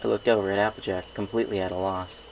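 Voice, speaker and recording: A man's voice: low voice, little pitch movement, quick pace, rough recording. A man reading a first-person story aloud as an amateur narrator, with faint noise behind him.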